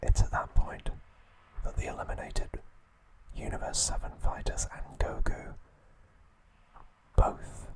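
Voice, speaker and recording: Male ASMR host, soft unvoiced whisper, unhurried delivery, dry playful asides. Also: rough recording. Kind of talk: whispered ASMR recap narration.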